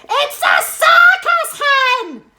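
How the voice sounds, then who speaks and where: Woman doing a shrill bossy old granny, scolding, wild swoops in pitch. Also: wildly swooping pitch, very slow, roomy sound, very noisy, heavily acted. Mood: shrieking. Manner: screeching, scolding. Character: shrieking bossy old grandmother.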